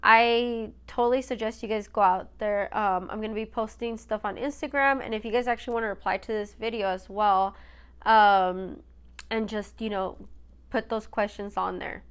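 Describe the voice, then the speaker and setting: A woman's voice: mid-range voice, fairly even intonation, moderate pace, clear, resonant tone. A young woman hosting a solo podcast in a casual, rambling way, full of fillers, her nose stuffy from allergies. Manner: rambling, many fillers and "you guys". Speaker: podcast host.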